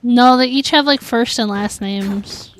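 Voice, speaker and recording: A woman's voice: fairly high pitch, unhurried. A woman streaming a game, chatting casually with her viewers in a filler-heavy way. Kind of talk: casual livestream chat while gaming.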